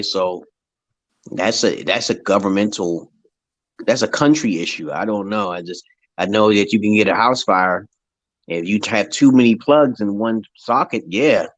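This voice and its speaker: Deep-voiced man chatting loosely. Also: moderate pace. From United States. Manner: loose, opinionated, slangy and laughing. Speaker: conversation partner in an English chat. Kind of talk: casual chat.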